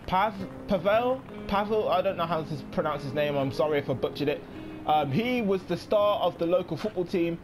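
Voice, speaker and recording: A man's voice: sits high, a little mellow. Young guy rambling through a let's play, lots of ums, keeps saying sorry, bit of music behind. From United Kingdom. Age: young adult. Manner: rambling, many ums and restarts, apologetic. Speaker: gaming YouTuber. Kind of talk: casual let's play commentary.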